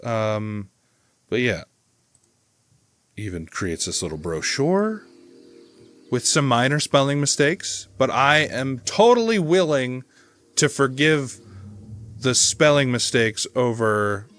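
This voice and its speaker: A man's voice: mid-range voice, sing-song, slow pace, pauses often, a bit bright. A man giving casual, rambling and enthusiastic reaction commentary as a video host, swearing freely. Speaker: video host reviewing horror channels.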